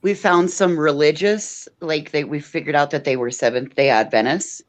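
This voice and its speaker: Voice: mid-range voice, normal speed, no room sound. A person chatting casually as a panelist on a true-crime livestream. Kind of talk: casual group livestream chat.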